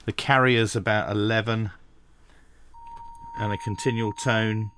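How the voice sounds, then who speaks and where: A man giving a hands-on tech tutorial, talking through each step methodically with pauses and dry asides. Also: fairly low pitch, steady tone, fairly bright tone, slight background noise. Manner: methodical, thinking aloud with frequent pauses. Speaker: radio reviewer.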